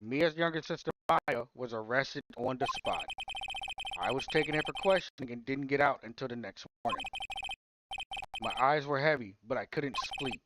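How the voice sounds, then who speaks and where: A man's voice: medium pitch, normal speed, very noisy, close to an everyday voice. A man voicing an earnest, considerate young male lawyer in a careful manner, as in a video game. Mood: weary, earnest. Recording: music behind the voice.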